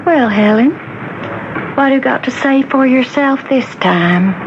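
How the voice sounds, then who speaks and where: A woman's voice: sits high, a little slow. An actress in a radio drama playing a plainspoken, blunt, world-weary woman who has survived great suffering. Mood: agitated. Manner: blunt, terse. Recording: dull, noisy old radio recording.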